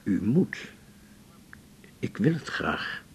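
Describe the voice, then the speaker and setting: An actor playing a courteous, composed older male patient in a radio drama, speaking gently and haltingly. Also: sing-song, heavily acted. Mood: gentle, grateful. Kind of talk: 1980s Dutch radio drama acting. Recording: faint rumbling background noise.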